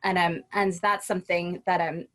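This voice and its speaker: A woman from Trinidad and Tobago, a guest speaking in a relaxed interview and often restarting her phrases as she thinks. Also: lowish voice, normal speed. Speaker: guest, home educator. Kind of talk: casual conversational interview.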